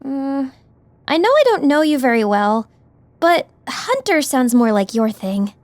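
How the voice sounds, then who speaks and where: A woman playing an anxious, soft-hearted young companion in an audiobook drama, expressive and hesitant. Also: high-pitched, a little slow, dry recording. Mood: hesitant, apologetic.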